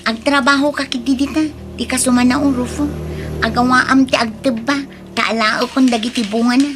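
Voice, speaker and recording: A woman's voice: fairly high pitch, fairly even intonation, moderate pace, music in the background, natural voice. A woman playing a young, no-nonsense farm niece in a radio drama, brusque and aloof, with an Ilocano accent. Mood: curt, annoyed. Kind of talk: Filipino radio drama acting.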